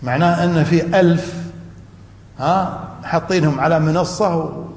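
A man's voice: on the high side, moderate pace, creaky, a little mellow. A man teaching a class on religious law. He quizzes the students with half-finished questions and repeats the key terms. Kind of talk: classroom lecture. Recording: echoey hall with faint room noise.

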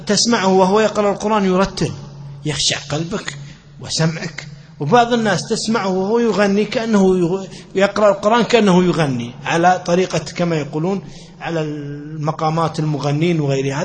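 A man with a fairly high voice, the teacher in a religious study circle going through an old text, saying key phrases twice over. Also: fast talker. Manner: repeats phrases for emphasis. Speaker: religious teacher of Islamic law. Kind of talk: explanatory lecture on a classical text.

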